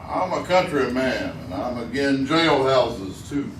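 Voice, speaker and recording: A man's voice: medium pitch, animated, measured pace, close to an everyday voice. A man acting a stubborn, grim backwoods mountain man with a rural Southern drawl in a live old-time radio western. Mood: blunt, suspicious. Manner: folksy, blunt backwoods talk. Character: tall grim Ozark mountain man, plain-spoken and stubborn. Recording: distant, echoey theater with noise.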